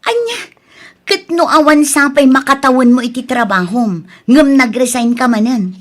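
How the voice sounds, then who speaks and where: Casting: actress as frustrated, dutiful elder sister around thirty, high voice, Ilocano accent, reproachful audio-drama delivery. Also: female voice, lively intonation, normal speed. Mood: exasperated scolding. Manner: exasperated, reproachful.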